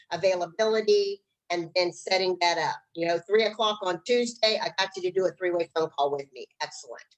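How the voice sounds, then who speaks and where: A woman's voice: lowish voice, low-quality recording. Plain-spoken middle-aged woman explaining business technique. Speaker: guest business trainer. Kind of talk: conversational instruction.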